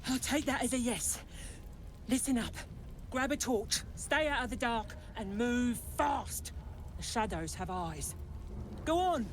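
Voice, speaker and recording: A woman playing a brisk, wary scout in a video game, giving curt, urgent orders. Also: fairly high pitch, lively intonation, a little hiss or hum. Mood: urgent, commanding. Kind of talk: video game character dialogue.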